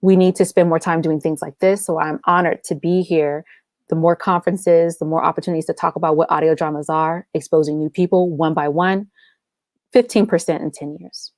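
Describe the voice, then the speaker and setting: A woman's voice: low voice, fairly even intonation, a little fast, a little mellow, dry recording. An American woman speaking casually and emphatically as an interview guest, with an enthusiastic manner. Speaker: podcast guest, audio drama writer and producer.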